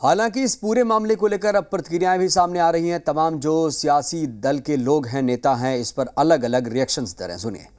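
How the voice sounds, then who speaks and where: A man's voice: fairly high pitch, fairly quick, hardly any pauses, darkish tone. A man presenting television news as an anchor, with an emphatic delivery that repeats key phrases. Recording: music bed behind voice. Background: news music.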